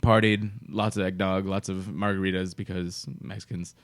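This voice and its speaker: Young man, podcast co-host, lowish voice, casual storytelling, laughs often. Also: little pitch movement, normal speed. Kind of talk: casual chat.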